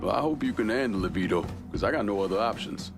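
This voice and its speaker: Very deep-voiced young crime boss. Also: male voice, expressive, quick pace, creaky. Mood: businesslike. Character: cool, commanding young crime boss, war veteran. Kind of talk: video game cutscene acting. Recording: music behind the voice. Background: music.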